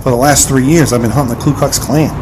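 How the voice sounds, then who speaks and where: A man's voice: medium pitch, fairly even intonation, fast, close to an everyday voice. Man playing earnest marksman over engine-like noise. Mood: frustrated. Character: earnest American marksman, polite and modest. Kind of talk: audio drama acting.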